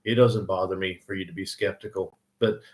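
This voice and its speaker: A man in his sixties with an Oklahoma accent, co-hosting a live online session in a folksy, rambling way full of "you know"s and throat clears. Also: sits low, fairly even intonation, fairly quick. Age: 60s. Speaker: co-host of a live online training. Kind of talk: casual live-stream chat.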